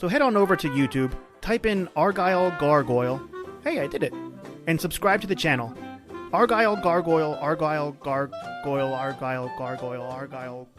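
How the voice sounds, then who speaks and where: A woman's voice: low-pitched, moderate pace. A woman reading a comedic podcast ad, playful, self-deprecating and tongue-tied. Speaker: sponsor announcer. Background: music with brass and guitar.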